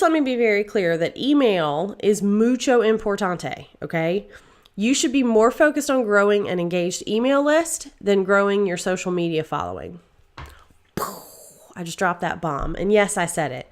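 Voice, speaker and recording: A woman hosting her own podcast, sounding nasal and congested from a head cold. Her voice sits at a middling pitch, and she talks in an upbeat, chatty way with a playfully sarcastic edge. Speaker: podcast host. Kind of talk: casual solo podcast talk.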